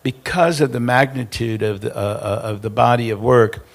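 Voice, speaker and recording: A man speaking on a conference panel, halting and repeating words as he explains. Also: mid-range voice. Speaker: foundation president. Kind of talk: panel discussion answer.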